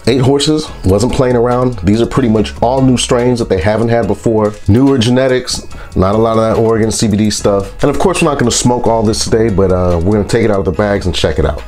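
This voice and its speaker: A man with a congested, stuffy voice from a sinus infection, reviewing products in a relaxed, rambling vlog. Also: lowish voice, a little fast, soft background music. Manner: relaxed, rambling, with frequent fillers.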